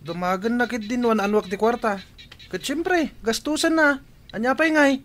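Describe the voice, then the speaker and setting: An actor playing a brash, demanding, mocking schoolboy in a radio drama, whiny and insolent. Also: male voice, very high-pitched, normal speed, fairly bright tone. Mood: demanding, impatient.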